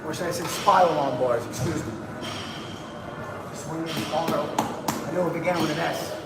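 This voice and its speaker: A hyped man chats with his livestream viewers in a high voice, tossing out slang and calling everyone bro. His voice bounces around an echoey room, and music plays clearly behind him. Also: moderate pace. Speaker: livestream host. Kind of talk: casual livestream chat.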